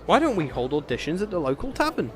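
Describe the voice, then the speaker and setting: An actor with a British accent playing a pompous, oblivious gentleman knight in a comedic fantasy audio drama. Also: a little high, wildly swooping pitch, quick pace, crowd noise. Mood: eager, self-satisfied. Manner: pompous, theatrical, chatty.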